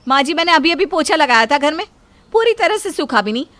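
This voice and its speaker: A woman voicing a sharp-tongued, taunting young daughter-in-law in a family story. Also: sits high, sing-song, quick pace, no echo, pitched above the performer's own voice. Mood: scornful nagging. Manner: taunting, complaining.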